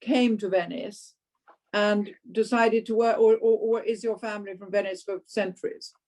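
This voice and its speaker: A woman's voice: medium pitch, fairly even intonation, moderate pace, a little mellow. A woman, an interior designer, speaking enthusiastically in a casual panel conversation.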